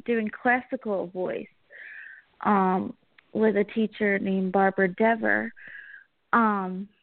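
A young woman singer answering interview questions, pausing often with many ums and small laughs. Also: medium pitch, creaky, no room sound. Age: young adult. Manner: hesitant, many ums, giggly. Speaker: singer, guest. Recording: tinny phone-like line.

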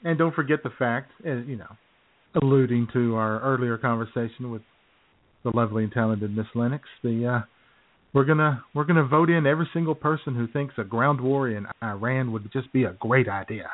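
A man co-hosting a radio show, trading sardonic political jokes in a halting, casual way. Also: mid-range voice. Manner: sardonic, jokey, halting with fillers. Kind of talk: political comedy chat. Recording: phone-like lo-fi sound.

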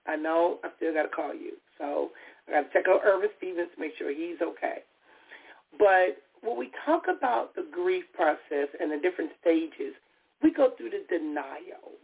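A woman hosts her own radio talk show, her voice raspy from a lingering cold and gravelly with fry. She talks in a heartfelt, personal way, stumbling and restarting now and then. The audio comes through a narrow, tinny phone line. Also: on the low side. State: recovering from a cold. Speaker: radio show host and therapist. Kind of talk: solo internet radio talk.

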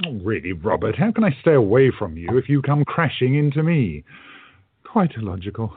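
A man's voice: mid-range voice, very animated, a little fast, breathy edge, dry recording. A man playing a polite, wry time traveller in his late thirties who runs a bookstore, in a radio play. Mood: dry, ironic. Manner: polite, wry, precise phrasing. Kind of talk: audio drama acting. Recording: muffled, narrow phone-like audio.

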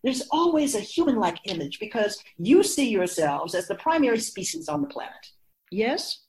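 A woman's voice: a little high, big pitch swings, moderate pace, a little mellow, a little hiss or hum, rough recording. A woman playing an assured immortal keeper of souls in a radio drama, explaining things in a composed, formal, didactic way. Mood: instructive. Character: feminine-voiced immortal spirit keeper of souls, assured and instructive.

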